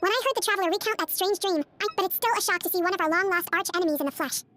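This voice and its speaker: Composed, clinical therapist, music behind. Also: female voice, very high-pitched, fairly bright tone. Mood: grave. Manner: measured, articulate, clinical. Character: composed female dream-eater therapist, firm, analytical.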